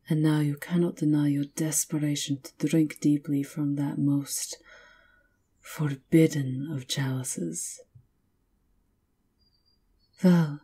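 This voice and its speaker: Woman playing a low, breathy seductive vampire countess. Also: mellow, full character voice. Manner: slow, theatrical, taunting purr, often dropping to whispers. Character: seductive, menacing aristocratic vampire countess, mocking and possessive. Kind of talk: ASMR roleplay.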